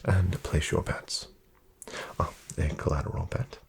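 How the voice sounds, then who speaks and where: A man doing a soft-spoken ASMR roleplay as a courteous casino dealer, breathy and often near a whisper, in formal, measured patter. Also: low voice, steady tone, noisy background. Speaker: ASMR performer playing a casino card dealer. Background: card shuffling and clicks.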